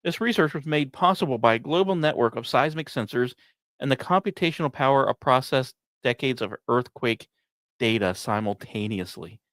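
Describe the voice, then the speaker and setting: Male host, mid-range voice, measured scripted science read, explanatory delivery, very dry close recording. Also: medium pitch, lively intonation, fairly bright tone, no echo. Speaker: podcast host.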